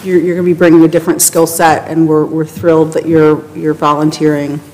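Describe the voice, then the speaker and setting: A woman's voice: deep voice, flat delivery, a little fast, darkish tone. A woman chairing a community board meeting, casual and upbeat with many fillers, speaking into a table mic. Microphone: table microphone. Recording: echoey room with noise.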